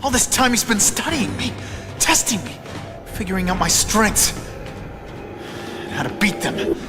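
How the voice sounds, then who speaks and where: Smart-mouthed young hero, kinda high voice, music in the back. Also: male voice, sing-song, unhurried. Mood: angry. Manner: sarcastic, joking. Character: wisecracking young male superhero, cocky and quick.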